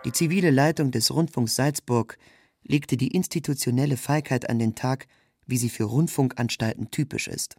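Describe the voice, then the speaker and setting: A man reads a scripted literary narration in a measured, formal manner. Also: mid-range voice, creaky, a little mellow, slightly rough, soft background music. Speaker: narrator, reader.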